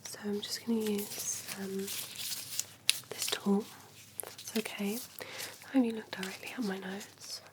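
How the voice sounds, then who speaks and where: A woman whispering breathily in an ASMR doctor roleplay, speaking gently and hesitantly like an attentive clinician. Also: medium pitch, little pitch movement, slow pace, long pauses, low-quality recording. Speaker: ASMR performer playing an eye doctor. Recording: clear background noise and ambience.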